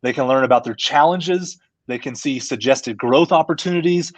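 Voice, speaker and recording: A man's voice: mid-range voice, normal speed, no room sound. A man hosting a business live-stream discussion as company CEO, asking questions and walking through a screen share.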